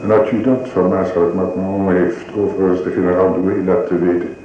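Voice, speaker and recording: A man playing a weary, ailing French emperor in a radio drama, languid and halting, with aristocratic phrasing. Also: low-pitched, fairly even intonation, close to an everyday voice. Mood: worried, brooding. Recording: muffled, very echoey room, faint hiss.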